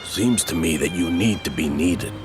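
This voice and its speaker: A man acting in an audio drama as a gentle, wise older family friend with a measured, folksy manner. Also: low-pitched, music in the background, natural voice. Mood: gently consoling.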